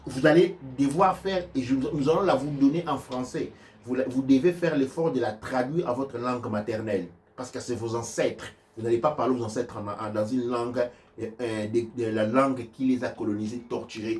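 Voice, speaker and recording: A man giving religious teaching on offerings and prayers, speaking haltingly with many restarts and sudden shifts between loud and soft. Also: medium pitch, far from the mic, a little hiss or hum. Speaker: spiritual teacher. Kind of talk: religious teaching in a live stream.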